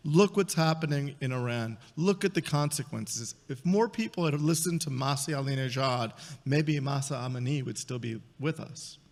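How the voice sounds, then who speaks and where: A man's voice: medium pitch, normal speed. A middle-aged man giving an earnest, emphatic introduction at a conference lectern. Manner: emphatic, earnest, repeats words. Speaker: event introducer. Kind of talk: formal speech introduction. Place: large conference hall stage. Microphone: lectern microphone. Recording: hall reverb.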